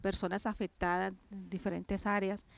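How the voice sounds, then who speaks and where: A woman from Cali, Colombia, with a Colombian accent, sharing her faith and childhood as a guest, speaking hesitantly with many pauses and fillers. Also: medium pitch, level tone, vocal fry. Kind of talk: personal testimony in an interview.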